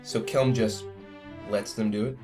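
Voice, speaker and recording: A man's voice: on the low side, steady tone, measured pace, a little mellow, lightly acted. A man playing an adventurous, earnest young crewman in a science fiction audio drama, talking in a casual way. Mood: persuasive, earnest. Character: adventurous young spaceship crewman, earnest persuader with a haunted past. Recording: music playing under the dialogue.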